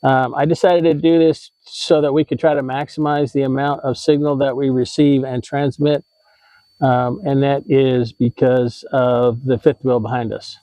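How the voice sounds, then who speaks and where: Mellow man, casual how-to vlogger. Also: medium pitch, little pitch movement, moderate pace, dry recording, low-quality recording. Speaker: vlog host giving RV tips.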